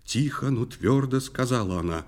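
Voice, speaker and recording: Medium-pitched man telling a dramatic verse tale. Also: animated, moderate pace, a little mellow. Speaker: narrator. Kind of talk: dramatic verse narration for a children's audio play.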